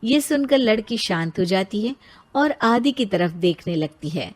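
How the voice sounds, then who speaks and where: A woman's voice: medium pitch, lively intonation, measured pace. A woman narrating a scripted story.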